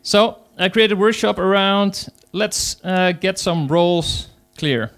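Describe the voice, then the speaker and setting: A man's voice: high voice, slow pace, fairly bright tone. A man with a Dutch accent, speaking English as a second language, gives a conference talk as an agile coach, hesitant, with many fillers. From Netherlands.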